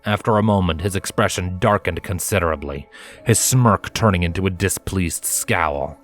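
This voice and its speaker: Lower-pitched man narrating a fantasy story. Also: normal speed. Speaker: fan fiction narrator. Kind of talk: dramatic scripted story narration. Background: background music.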